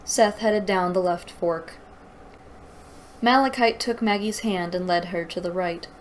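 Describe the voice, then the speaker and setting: A woman's voice: lowish voice, a little slow, slight background noise, poor audio. A woman reading a story aloud and breaking in with sarcastic, exasperated commentary. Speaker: host reading and critiquing a fantasy novel aloud.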